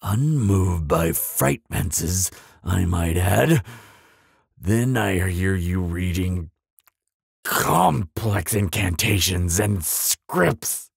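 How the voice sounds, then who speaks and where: Guy doing a grumpy bogeyman for audio roleplay, super husky low voice, pompous n easily flustered, secretly soft. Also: animated, drawn-out words, dry recording, studio quality, heavily acted. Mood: exasperated. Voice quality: raspy, gravelly. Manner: pompous, flustered stammering, dramatic.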